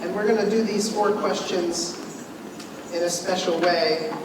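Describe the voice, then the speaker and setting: A man's voice: sits high, fairly even intonation, a little slow. A man leading a community ritual meal, giving instructions and inviting people forward, often restarting his sentences. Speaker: seder leader. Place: large hall. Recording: echoey hall from far off, background noise.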